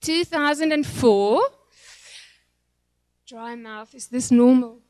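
Nervous South African woman, high dry voice. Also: slow pace, darkish tone, no echo. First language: Afrikaans. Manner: nervous, emotional, full of fillers and restarts. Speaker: testimony speaker at church. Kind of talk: personal testimony and prayer.

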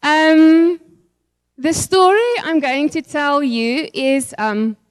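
A middle-aged woman with a South African accent tells her testimony in front of a church, her first language being Afrikaans. She sounds nervous and emotional, pausing often on fillers and restarting phrases. Her voice sits high and is captured close and dry. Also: no room sound. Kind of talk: personal testimony and prayer.

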